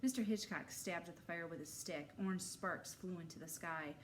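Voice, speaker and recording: A woman's voice: lowish voice, moderate pace. Woman reading a children's story, faint noise. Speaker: read-aloud storyteller for first graders. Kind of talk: animated children's story narration.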